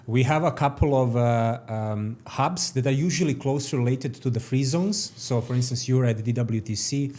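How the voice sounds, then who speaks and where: A man's voice: mid-range voice, normal speed, hardly any pauses, a little mellow. A man hosting a podcast interview, asking structured questions and giving brief acknowledgements. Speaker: podcast host.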